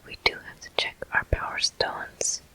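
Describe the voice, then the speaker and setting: Woman, ASMR gameplay host, whispered, crisp bright hiss, gentle, unhurried. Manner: soft, gentle, unhurried murmuring. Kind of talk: whispered ASMR video game commentary.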